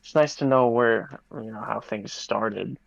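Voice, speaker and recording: A man's voice: mid-range voice, unhurried, gravelly, no room sound. A young man hosting a podcast, laid-back and casual, often saying "like". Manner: laid-back, heavy use of fillers like "like", admits knowing little. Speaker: podcast host. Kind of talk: casual chat. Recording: dull, muffled dry mic.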